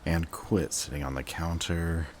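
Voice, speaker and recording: A man's voice: deep voice, fairly even intonation, unhurried, slight background noise. A man playing a sarcastic, jaded supervillain in a scripted roleplay audio drama, with a dry, taunting way of talking to an old rival. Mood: annoyed, sarcastic.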